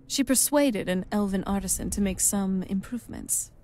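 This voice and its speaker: A woman's voice: medium pitch, moderate pace, warm tone, lightly acted. An actress playing a wistful, young-looking vampire noblewoman in a video game, speaking in a conversational way. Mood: reflective. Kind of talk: video game character dialogue.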